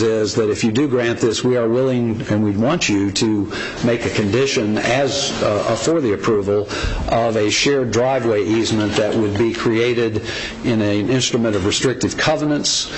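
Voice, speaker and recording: A hoarse man with a cold presenting a methodical, point-by-point case to a commission at a public hearing. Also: on the low side. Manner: methodical, point-by-point, frequent ums and restarts. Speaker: representative of property owners. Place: public meeting room. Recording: noisy meeting room recording.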